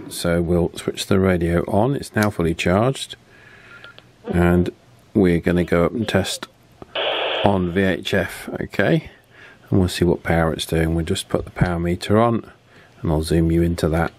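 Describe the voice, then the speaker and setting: A man's voice: sits low, background noise. A man with a British accent giving a casual, chatty hands-on product review in colloquial language. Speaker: radio reviewer.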